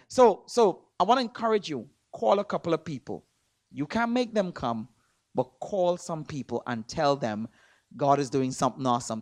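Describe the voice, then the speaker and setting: A man from Trinidad with a Trinidadian accent, preaching in a church. He stresses words hard, repeats himself and swings between loud and soft. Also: fairly high pitch, no room sound, clean studio sound. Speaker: guest preacher. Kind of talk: sermon. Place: church sanctuary.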